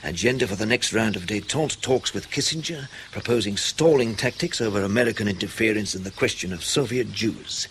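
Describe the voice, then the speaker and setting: An actor playing a wry British intelligence officer in a radio drama, questioning in a measured, pressing way with dry understatement. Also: male voice, lowish voice, a bit bright. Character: probing, wry British male intelligence officer debriefing an agent. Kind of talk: naturalistic radio drama acting. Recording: clear ambient noise behind the voice.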